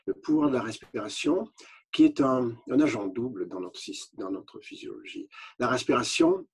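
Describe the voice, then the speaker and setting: An older man who grew up speaking English, a retired doctor explaining at length in a measured way, with frequent hesitations and restarts. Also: mid-range voice, animated, darkish tone, slightly rough. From Canada. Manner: unhurried, many hesitations and restarts. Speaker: physician. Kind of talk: explaining to an interviewer.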